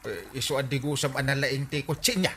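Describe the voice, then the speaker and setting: A male actor playing an excitable, whiny village captain in an Ilocano radio comedy drama, exclamatory and hesitant, with an Ilocano accent. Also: mid-range voice, quick pace, breathy edge. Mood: breezy.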